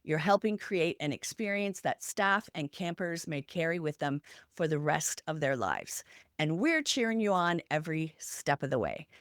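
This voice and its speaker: Female podcast host, lowish pitch, sincere and encouraging, deliberate phrasing, very dry sound. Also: very animated, no room sound. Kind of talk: conversational podcast wrap-up, reflective advice.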